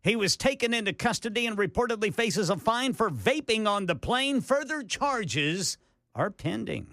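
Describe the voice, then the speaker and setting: Lively high-voiced male radio co-host. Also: moderate pace, no echo, clean studio sound. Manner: animated, puts on voices for quotes. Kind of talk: reading news stories on talk radio.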